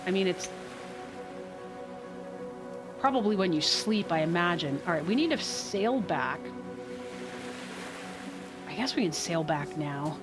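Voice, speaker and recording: A woman's voice: fairly low pitch, lively intonation, normal speed, pauses often. A woman streaming a game live, thinking aloud as she plays and answering her chat. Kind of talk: casual live gameplay commentary. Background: game music.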